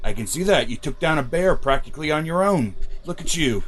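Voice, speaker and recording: A man playing a tabletop game, describing his actions and speaking calmly in character. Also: fairly high pitch, fairly quick.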